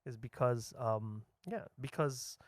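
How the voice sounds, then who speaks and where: A man's voice: mid-range voice, takes it slowly, no echo. A man in a casual podcast chat, rambling along with lots of fillers and restarts. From United States.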